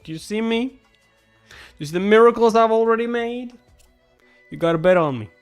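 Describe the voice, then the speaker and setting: A man's voice: high voice, moderate pace, clean tone, no echo, soft background music, pitched above the performer's own voice. A man voicing a cocky, scheming young genius player from a comic, brash, teasing and emphatic. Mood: brash, insistent.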